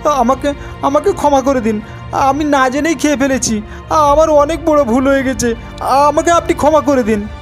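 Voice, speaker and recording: A woman's voice: high voice, normal speed, faint music behind, pitched above the performer's own voice, close to an everyday voice. A woman voicing an eager, earnest young village boy in a cartoon folk tale. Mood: pleading. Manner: earnest, repeats his pleas. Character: woodcutter's young son, eager, polite, bold boy.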